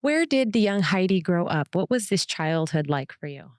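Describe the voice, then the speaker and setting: A woman's voice: on the low side, very animated, moderate pace, dry recording, studio quality. A woman hosting an interview podcast, enthusiastic and affirming, often backing her guest with short agreements. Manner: enthusiastic and affirming, with frequent mm-hmms. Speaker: podcast host.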